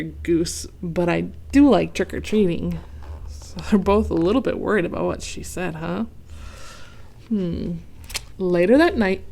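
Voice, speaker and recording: Slow, gentle woman reading to children. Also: fairly low pitch. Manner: gentle, asks the listener questions. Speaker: teacher reading aloud. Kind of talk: children's read-aloud.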